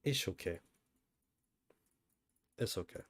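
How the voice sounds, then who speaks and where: A man's voice: takes it slowly, fairly bright tone, no echo. A man giving casual gameplay commentary as a streamer, talking offhandedly to himself and repeating phrases. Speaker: game streamer.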